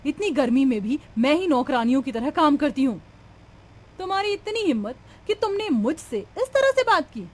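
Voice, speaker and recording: A young daughter-in-law in a family cartoon, voiced by a woman, high in pitch. She is sharp-tongued and indignant, scolding her way through domestic feuds with plenty of melodrama. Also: sing-song, moderate pace. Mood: angry, indignant. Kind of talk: cartoon family drama dialogue.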